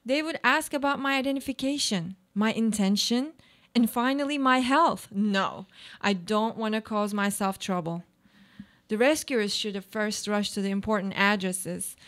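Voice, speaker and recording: A woman's voice: mid-range voice, normal speed, fairly bright tone, close to an everyday voice. A woman playing a troubled, self-doubting housewife in a reflective, questioning radio play monologue. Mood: doubtful, evasive.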